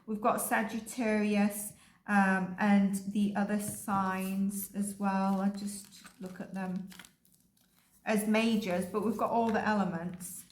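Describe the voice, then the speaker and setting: A woman giving a casual psychic reading, rambling and filling her pauses with "um" and "like". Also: medium pitch, flat delivery. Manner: rambling, with frequent ums and likes. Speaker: psychic energy reader. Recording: echoey room.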